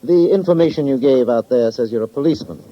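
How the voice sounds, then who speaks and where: A polished, guarded lawyer played by a male actor speaks with formal courtesy in a medium-pitched voice. It is a 1950s radio drama with dull, lo-fi sound. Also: fast, natural voice. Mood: courteous. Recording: muffled old radio recording.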